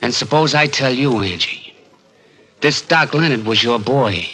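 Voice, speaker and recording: A man's voice: medium pitch, animated, measured pace, pushed and bright, slightly rough, exaggerated voice. An actor playing a bitter small-time gunman in a 1940s radio crime drama, with an American accent and streetwise, hard-boiled slang. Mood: bitter accusing. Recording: vintage 1940s radio recording, music behind.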